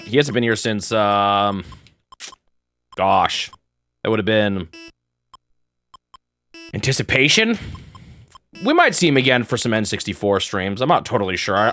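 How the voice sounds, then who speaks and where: A man's voice: lowish voice, fairly bright tone. A young man from the United States chatting casually while playing a game, rambling and laughing often as he restarts his sentences. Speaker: gaming streamer.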